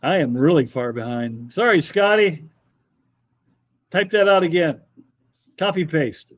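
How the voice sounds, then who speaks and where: A man's voice: on the high side, measured pace, no echo. A middle-aged man hosting a casual livestream, rambling and joking as he reads and answers viewer comments. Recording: dull, slightly muffled audio.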